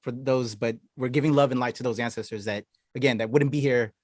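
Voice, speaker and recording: A male podcast host asking halting questions and gushing with affirmations. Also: mid-range voice, fairly even intonation, no echo, clean studio sound. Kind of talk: casual podcast interview.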